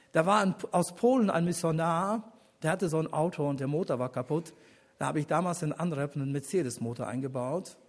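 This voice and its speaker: Husky older man giving an earnest sermon testimony. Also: fairly high pitch. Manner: earnest, deliberate with pauses, rising to emphatic appeals. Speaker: church elder giving a testimony.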